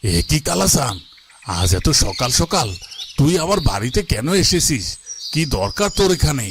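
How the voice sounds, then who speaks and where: A strict fairy-tale master who forgives only grudgingly. His scolding cartoon voice moves widely up and down, with clear birdsong in the background. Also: mid-range voice, sing-song, normal speed, noisy background. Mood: annoyed, brusque. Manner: stern, scolding. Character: stern, gruff male master, grudgingly forgiving.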